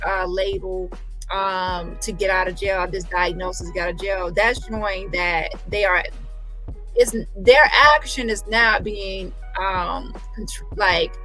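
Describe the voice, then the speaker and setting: Female livestream host: bright, mid-pitched, rambling and emphatic commentary. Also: low-quality recording.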